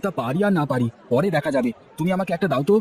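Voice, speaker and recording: A man's voice: fairly high pitch, expressive, very fast, a little mellow, some chatter behind, a little hiss or hum, lightly acted. A man playing a poor, put-upon grown son who sells street snacks, grumbling and pleading in a cartoon. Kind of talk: cartoon voice acting. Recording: faint music bed behind.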